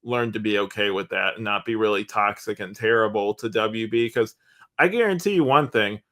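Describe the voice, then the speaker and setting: A man's voice: lowish voice, fairly quick, pushed and bright. A man chatting casually as a podcast guest, giving opinions in a plain-spoken way. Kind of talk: casual film discussion.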